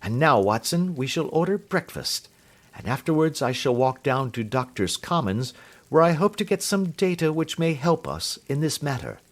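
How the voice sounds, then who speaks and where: A man doing a calm, wry detective. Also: fairly high pitch, normal speed, voice pushed up. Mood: amused. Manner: quiet, bland, imperturbable. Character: calm, amused, clever male detective.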